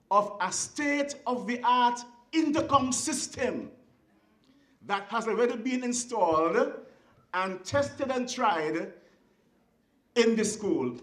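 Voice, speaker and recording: A speaker with a Kittitian accent giving a formal ceremonial speech in a deliberate, oratorical way, with emphatic short phrases. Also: mid-range voice, fairly bright tone. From Saint Kitts and Nevis. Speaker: guest speaker at a school ceremony. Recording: echoey venue sound.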